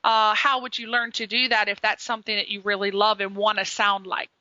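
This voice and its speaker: A woman's voice: mid-range voice, level tone, brisk, pushed and bright, no echo, highs cut off. A woman radio host asking chatty, eager questions in an interview.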